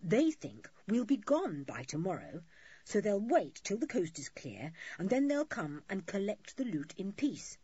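A bold schoolboy leader from a children's adventure story, performed by a woman. He speaks forthrightly with lively swings of pitch and a husky edge. The sound is a little dull. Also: a little slow, no room sound, heavily acted. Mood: pleased. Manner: indignant, forthright.

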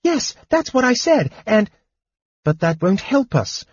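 A determined young woman speaks briskly and impatiently, as a man reading aloud puts her on. Her voice is raised higher than his own, with a husky edge. The recording is dry and slightly dull. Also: sing-song, no echo, exaggerated voice. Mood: urgent.

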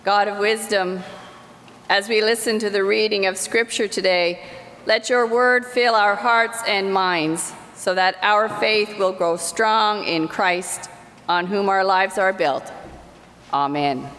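Slow, prayerful woman in a reverberant church. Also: medium pitch, fairly bright tone. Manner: measured, deliberate phrasing. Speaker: prayer leader in a church service. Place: church sanctuary.

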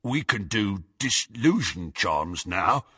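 A man voicing an oafish teenage boy henchman, grunting and dim, with rough grammar, in an audiobook. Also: low voice, very animated, slow, bright, forceful tone, dry recording, studio quality, pitched below the performer's own voice, heavily acted. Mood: gormless pride.

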